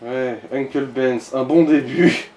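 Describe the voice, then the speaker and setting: A man's voice: medium pitch, slow pace, darkish tone. Man chatting in an echoey room. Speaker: gaming companion commenting along. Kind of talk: casual chat over gameplay. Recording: echoey room with faint noise.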